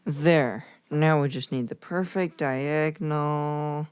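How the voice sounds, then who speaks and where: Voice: mid-range voice, fairly even intonation, slow pace, clean tone, close to an everyday voice. An actor voicing a precise, bookish boy with a formal, fussy, wordy way of talking in a children's audio drama. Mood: fussy, keen. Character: precise, bookish boy, the careful twin brother.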